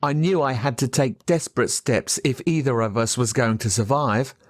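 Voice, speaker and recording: An Australian man reading a story aloud as a dramatic narrator, expressive and measured with telling pauses. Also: medium pitch, no echo, clean studio sound. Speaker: audiobook narrator. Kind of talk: dramatic scripted storytelling in first person.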